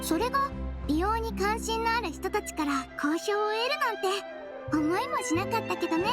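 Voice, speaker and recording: A woman voicing a gentle little fairy-like nurse in an anime game, speaking in a soft, composed, girlish way. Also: very high voice, lively intonation, moderate pace. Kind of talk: anime game dialogue and fairy-tale storytelling. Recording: music behind the voice.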